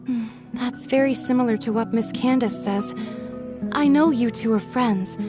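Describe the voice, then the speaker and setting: A woman voicing a withdrawn young village woman in a video game, soft-spoken, hesitant and guarded. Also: fairly high pitch, normal speed, lightly acted. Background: game background music.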